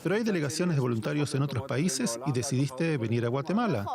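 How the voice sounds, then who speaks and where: A man's voice: mid-range voice, animated, quick pace, a crowd in the background. A man presenting and interviewing in a broadcast news reporting style. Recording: loud background noise.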